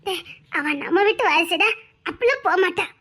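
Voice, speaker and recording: A woman's voice: very high voice, lively intonation, fairly quick, bright, forceful tone, exaggerated voice. An actress playing a family woman who firmly reassures and scolds a grieving man, telling him to stop crying. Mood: reassuring. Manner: scolding yet reassuring, calls him "daa". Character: firm, comforting woman of the family. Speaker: relative consoling a grieving man. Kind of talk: serial drama acting.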